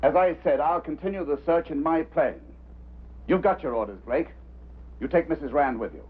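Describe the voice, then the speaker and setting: An actor playing a brusque, sarcastic, domineering boss in a 1950s drama, on a tinny old soundtrack. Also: male voice, on the high side, lively intonation, moderate pace, husky. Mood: cold authority. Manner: curt, sarcastic, commanding.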